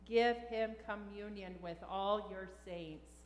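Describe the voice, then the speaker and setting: A middle-aged woman speaking as a pastor, leading liturgical prayer in a measured, solemn way in a church. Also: mid-range voice, steady tone. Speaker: pastor leading a funeral service. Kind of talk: liturgical prayer and creed recitation. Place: large church sanctuary, at the altar. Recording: echoey church hall with long reverb.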